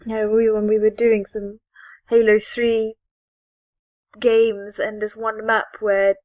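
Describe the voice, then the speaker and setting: Woman, gaming podcast co-host, conversational, medium pitch, very dry recording. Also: level tone, slow, darkish tone, no echo. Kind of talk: casual gaming discussion.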